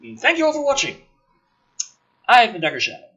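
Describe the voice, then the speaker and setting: A man with a forceful, husky voice does dramatic script reading and casual commentary. His pitch swings widely as he gets exasperated. He is sarcastic and swears freely, with groaning asides. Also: wildly swooping pitch, brisk, dull audio. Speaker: host of a gaming and film commentary channel.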